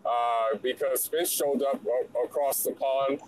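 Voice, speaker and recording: A man calling in to a casual chat, measured, asking before he speaks and leaning on "you know". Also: mid-range voice, steady tone, noisy background. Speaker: caller. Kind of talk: casual debate on a call.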